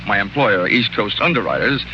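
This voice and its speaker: A man's voice: lowish voice, sing-song, normal speed. An American man playing a wisecracking, flirtatious insurance investigator in the sardonic style of 1940s radio drama. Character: wisecracking, flirtatious male insurance investigator, hard-boiled detective. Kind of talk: 1940s radio drama acting and first-person narration. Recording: muffled, noisy 1940s radio recording.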